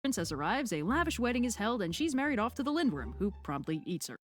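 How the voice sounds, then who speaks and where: A woman's voice: mid-range voice, sing-song, fast. A woman delivering scripted comic storytelling narration in a breezy, sardonic manner.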